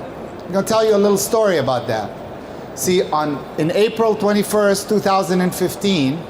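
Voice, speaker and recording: Man, high-pitched voice, presentation style, emphatic explainer, rhetorical questions, clearly noisy live recording. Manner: emphatic, explanatory, poses questions and answers them. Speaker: company presenter. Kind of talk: prepared conference-style presentation talk.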